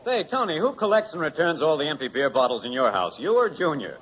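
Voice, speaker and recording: A man's voice: a little high, sing-song, fast talker, a bit bright, rough recording. A man as a commercial announcer, doing enthusiastic, folksy scripted banter in the style of a 1950s radio ad.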